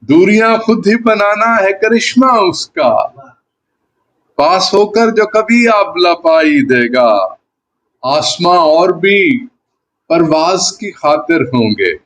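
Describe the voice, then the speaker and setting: Male poet, high-pitched voice, slow ghazal recitation, repeated lines for emphasis, some background noise. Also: expressive, a bit bright. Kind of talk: Urdu ghazal poetry recitation.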